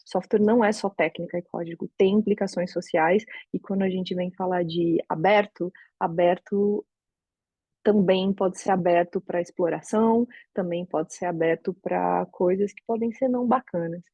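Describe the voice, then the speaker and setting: A woman's voice: lowish voice, fairly even intonation, moderate pace, mellow, slightly rough, no room sound, dull audio. Brazilian woman giving a casual online talk. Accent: Brazilian Portuguese. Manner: conversational, thinking aloud with many restarts. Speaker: open source advocate giving a talk. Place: home. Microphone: video call.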